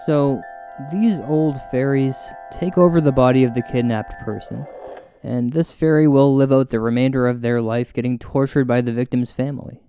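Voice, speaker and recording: Male storyteller, medium pitch, very mellow tone, mock-serious paranormal investigator, scripted spooky comedy, deliberate delivery. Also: steady tone. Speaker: host and narrator, as a paranormal investigator. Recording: music bed under narration. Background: music.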